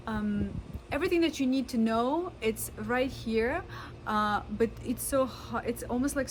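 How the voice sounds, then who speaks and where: A woman in her thirties with a Russian accent speaks in a casual vlog. She is earnest and halting, pausing often on fillers. Her mid-range voice sits over clear background noise and passing vehicles. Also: mid-range voice. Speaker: vlogger. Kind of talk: casual talk to camera.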